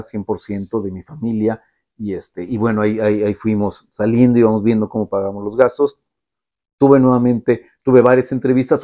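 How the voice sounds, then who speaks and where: Middle-aged man, mid-pitched, reflective vlogger who pauses and fills gaps as he recounts. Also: warm tone. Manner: reflective, halting, with many filler sounds. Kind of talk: personal storytelling to camera.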